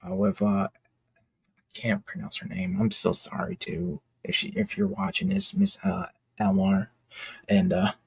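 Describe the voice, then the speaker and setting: A man giving casual behind-the-scenes commentary as an indie creator, talking in a halting, rambling, enthusiastic way. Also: lowish voice, very animated, gravelly, a bit bright, slightly rough, dry recording. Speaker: indie comic creator and video host.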